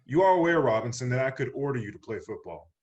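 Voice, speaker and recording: Guy as army colonel, talks real fast, stern and bossy, mid pitch. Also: sing-song, darkish tone, muffled recording, lightly acted. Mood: stern, pressing. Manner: brisk, authoritative. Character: stern male Army colonel, commanding and disapproving. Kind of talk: screenplay table read.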